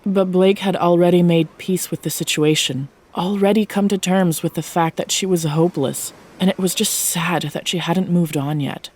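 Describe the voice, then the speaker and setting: A woman's voice: on the low side, fairly even intonation, normal speed, hardly any pauses, a little mellow, breathy edge. A woman giving a scripted narration of a fiction story as its narrator, close to the microphone.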